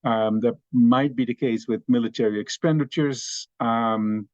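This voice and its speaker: This is a man with a middle-pitched voice speaking hesitantly, full of fillers and restarts. His audio is dry and muffled over a video call. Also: steady tone, measured pace, a little mellow, no room sound. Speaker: discussion participant. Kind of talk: online discussion remarks.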